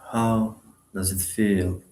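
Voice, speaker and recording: A man leading a guided meditation, giving instructions in short, sparse phrases with long pauses between them. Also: fairly low pitch, a little mellow, roomy sound, a little hiss or hum.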